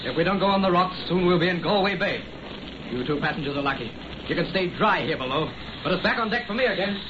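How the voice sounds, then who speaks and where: A brisk sailor, muffled old radio. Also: male voice, high-pitched, expressive, noisy background. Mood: urgent. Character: brisk, weathered Irish sailor warning of a storm. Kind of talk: old-time radio drama acting.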